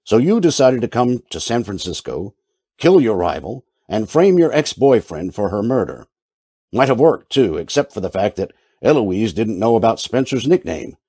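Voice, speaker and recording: Actor as a low, mellow hardboiled private eye. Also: male voice, normal speed, husky, dry recording, studio quality, full character voice. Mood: coolly accusing. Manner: deadpan, wry. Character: shrewd, world-weary, wry hardboiled private detective man. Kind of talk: old-time radio detective drama acting and narration.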